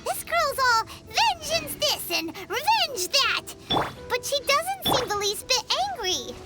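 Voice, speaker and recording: A woman voicing a tiny, childlike fairy companion in a video game, pert, easily flustered and quick to protest. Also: very high-pitched, big pitch swings, full character voice. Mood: puzzled. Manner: refers to self in third person, indignant. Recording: loud music behind the voice.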